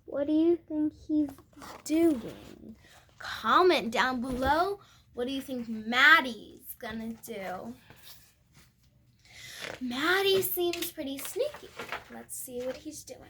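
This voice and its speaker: Young girl, high voice, lively pitch swings, halting storybook read-aloud with questions to viewers. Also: background noise. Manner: expressive, halting with stumbles and self-corrections. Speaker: storybook reader. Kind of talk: read-aloud of a picture book with asides to viewers.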